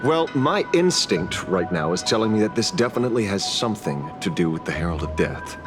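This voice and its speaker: An actor playing a gruff, wry investigator in a video game, confident and sardonic. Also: male voice, low voice, sing-song, fairly quick. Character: gruff, wry, instinct-driven male investigator. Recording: music behind the voice.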